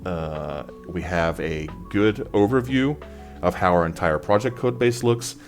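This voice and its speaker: A man's voice: sits low, a little slow, faint music behind. A man presenting exam advice in an informal tutorial style, talking through slides.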